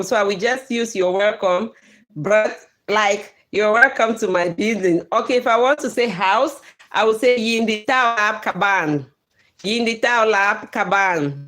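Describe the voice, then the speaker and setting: A Cameroonian-accented woman whose first language is Bakweri, hosting a live stream, reading out viewer comments in a lively way with frequent laughs and pidgin phrases. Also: a little high. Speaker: livestream host.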